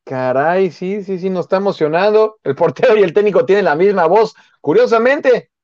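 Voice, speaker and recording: A man with a Mexican accent co-hosting a live stream, chatting casually in a jokey, halting, conversational way on a lo-fi mic. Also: high voice, lively intonation, darkish tone, no room sound. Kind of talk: casual chat.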